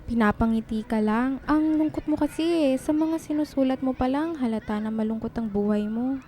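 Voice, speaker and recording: Radio drama part: actress as high-voiced, chatty, teasing young female best friend, slight noise behind. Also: normal speed, dark tone, close to an everyday voice. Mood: supportive.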